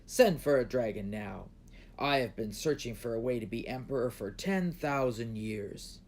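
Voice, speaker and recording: A man's voice: medium pitch, lively intonation, moderate pace, a little mellow, lightly acted. A man voicing a proud, hot-tempered, commanding emperor in a children's storybook. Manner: commanding, imperious. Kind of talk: children's storybook read aloud.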